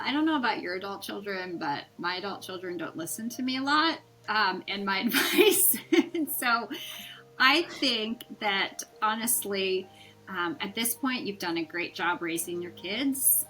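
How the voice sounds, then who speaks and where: Middle-aged woman guest; bright, clear and reassuring, with a mid-range voice. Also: mid-range voice, a little slow, faint music behind, rough recording. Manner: warm, encouraging, reassuring. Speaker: guest, pilot's mother. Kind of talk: casual interview chat.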